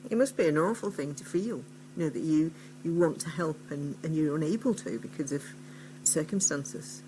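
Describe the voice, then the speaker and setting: A woman's voice: low-pitched, moderate pace, dark tone, a little hiss or hum, poor audio. A woman interviewing a guest on the radio in a conversational style.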